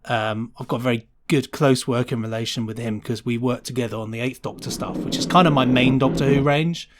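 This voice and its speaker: A man's voice: mid-range voice, steady tone, fairly quick. A man talking casually and enthusiastically as the guest on a podcast interview. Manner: enthusiastic, chatty. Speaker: guest sound designer.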